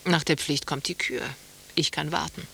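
A teasing, dreamy wife in a radio conversation piece. Her voice sits fairly low and she talks in short poetic phrases. There is a slight hiss on the recording. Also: steady tone, moderate pace, gravelly, bright, forceful tone, full character voice. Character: dreamy, teasing wife watching the water, needling her husband. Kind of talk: German radio play acting. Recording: faint tape hiss.

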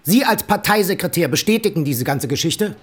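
An actor playing a stern, authoritarian party secretary who chairs a tribunal in a curt, formal way, in a radio drama. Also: male voice, fairly high pitch, animated, a little fast, slightly rough. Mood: curt, reproving. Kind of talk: German radio drama acting.

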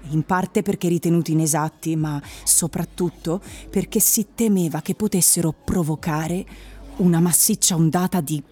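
A woman narrator reading literary prose for a radio drama in a measured storytelling manner. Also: fairly low pitch, dark tone, husky.

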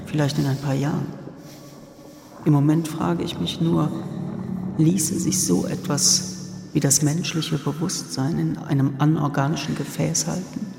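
A man's voice: on the high side, vocal fry. A man playing an earnest, reflective thinker in a radio drama monologue, questioning as he goes. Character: earnest thinker musing on machine consciousness and immortality. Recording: reverberant hall, music behind.

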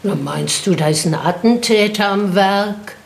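Radio play: a wry, squeamish choir woman, unhurried, faint room hum. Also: medium pitch, creaky, reverberant. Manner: joking, dry, unhurried.

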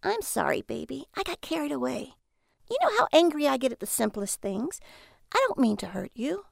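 A woman voicing a hot-tempered, excitable wife with emphatic delivery in an audiobook story. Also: high-pitched, normal speed, vocal fry, no echo, studio quality, voice pushed up, full character voice. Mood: apologetic, coaxing. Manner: exclamatory, emphatic.

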